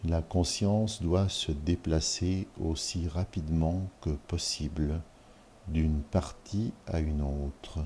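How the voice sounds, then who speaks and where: Male yoga nidra guide: very low, dark voice, measured short phrases with long pauses, calm instruction for relaxation. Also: slight background noise.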